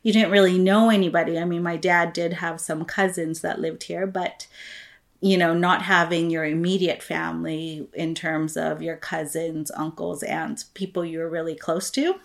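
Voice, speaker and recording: Chatty woman teacher, low voice. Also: steady tone, normal speed, vocal fry. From Philippines. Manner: warm, chatty storytelling with frequent laughs. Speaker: teacher and guest. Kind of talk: casual interview conversation.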